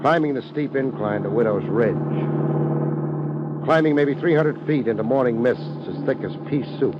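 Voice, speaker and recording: A man's voice: medium pitch, normal speed, heavy background noise, close to an everyday voice. Muffled old-radio wisecracking private eye, played by a man. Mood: wry narrating. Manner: wisecracking, slangy. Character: wry, laid-back, tough private detective. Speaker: lead actor in radio detective drama. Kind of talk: 1950s radio detective acting and narration. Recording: muffled old radio recording, music behind.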